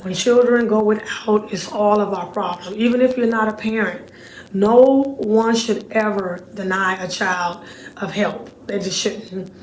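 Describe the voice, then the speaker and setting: A middle-aged woman with a raspy voice, hosting a casual vlog. She talks in a chatty way, repeats words for emphasis and laughs often. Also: medium pitch, steady tone, a little slow. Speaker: channel host, surplus funds recovery business owner. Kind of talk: casual talking-to-camera vlog. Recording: roomy echo with faint room noise.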